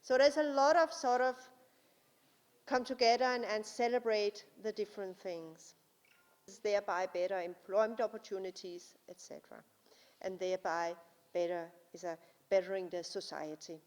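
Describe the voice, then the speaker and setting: A woman with a Danish accent, a native Danish speaker, giving a formal conference speech as a guest speaker. She talks slowly and deliberately, pausing with frequent fillers. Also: mid-range voice, steady tone, darkish tone. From Denmark. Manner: deliberate, slow and careful, with frequent uh pauses. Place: large conference hall. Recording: long hall reverb.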